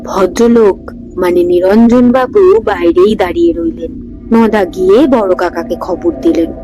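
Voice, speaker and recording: A woman narrates a ghost story as host of her storytelling channel, reading at a steady, unhurried pace. Her voice sits at an ordinary middle pitch for a woman. Music plays beneath the narration throughout. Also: poor audio. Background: background music.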